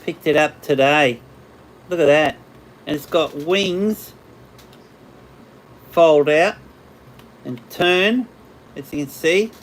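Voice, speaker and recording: An Australian man hosting a casual livestream, chatting with viewers in a rambling way, his voice slightly hoarse after hours of talking. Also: a little high, slow, long pauses. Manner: rambling, chatty, laughs often. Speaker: livestream host. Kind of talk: casual live chat with viewers.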